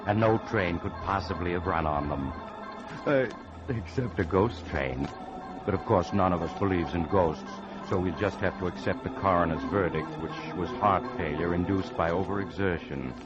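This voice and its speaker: An actor playing a mysterious storyteller host, narrating old-time radio horror in a sly, measured, theatrical way. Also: male voice, deep voice, close to an everyday voice. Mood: sly, macabre. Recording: dull vintage radio, music behind.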